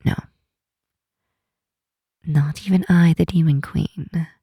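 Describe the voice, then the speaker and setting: Woman playing protective Demon Queen, ASMR roleplay, very breathy half-whisper, slow unhurried delivery, soothing, gently commanding. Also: deep voice, no room sound, studio quality, heavily acted. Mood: reassuring, firm. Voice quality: soft, breathy, often whispering. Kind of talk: ASMR roleplay, intimate comforting monologue.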